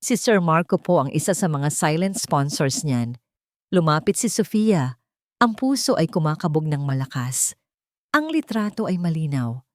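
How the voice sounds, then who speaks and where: Low-voiced woman narrating a drama, close and dry. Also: a little mellow, no room sound. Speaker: story narrator.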